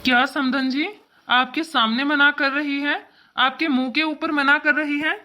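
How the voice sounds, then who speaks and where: A woman voicing an effusive, slyly flattering parent of a bride in an exaggerated family comedy cartoon. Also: sits high, unhurried, faint music behind. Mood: gushing. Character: gushing parent of the bride, slyly over-praising the in-law.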